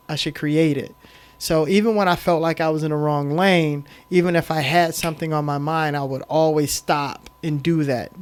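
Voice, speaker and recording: A middle-aged man speaking as a guest on a podcast, with a fairly high voice for a man. He tells his story in an emphatic, animated way and leans on frequent "um"s as he goes. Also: clean tone. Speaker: podcast guest, film director. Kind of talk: casual storytelling interview.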